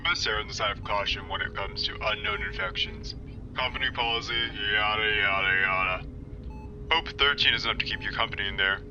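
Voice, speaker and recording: A man's voice: lively intonation, fairly quick, vocal fry. A man playing an offhand, apologetic ship medical officer in a casual recorded message for an audio drama. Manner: casual, dismissive of formalities. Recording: distant, dull, noisy rumbling message playback.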